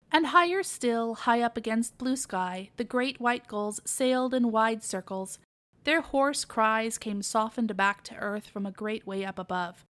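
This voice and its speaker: A woman narrating a children's storybook as a read-aloud podcast reader. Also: a little high.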